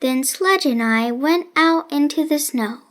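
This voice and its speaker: A woman narrating a children's storybook in a deliberate, carefully enunciated way. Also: sits high, expressive, fairly bright tone. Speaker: children's audiobook reader.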